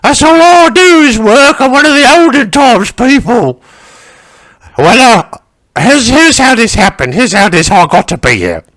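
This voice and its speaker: A man playing a chatty, crude older working-class woman who rambles through a story and shouts for her husband and dog. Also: extremely high pitch, very animated, unhurried, exaggerated voice. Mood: gossipy. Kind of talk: stand-up character monologue.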